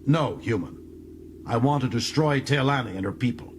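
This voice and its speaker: A man's voice: mid-range voice, animated, normal speed, background noise, voice pushed up, close to an everyday voice. An actor playing a bitter, proud young male alien prisoner in an audiobook, speaking with dramatic intensity and contempt. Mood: furious, bitter.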